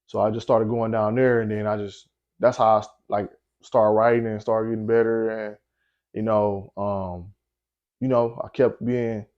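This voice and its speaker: A young man from Milwaukee, a rapper guest in a casual interview, telling stories in a relaxed way with lots of slang and fillers. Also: moderate pace, muffled recording. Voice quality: deep voice. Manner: laid-back storytelling with slang and frequent fillers.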